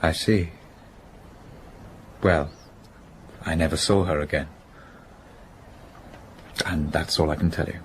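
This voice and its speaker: An actor playing a contrite, upper-class businessman in a period radio drama, speaking with polite, halting restraint. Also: rumbling low, fairly even intonation, slight background noise, full character voice. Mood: quiet, resigned.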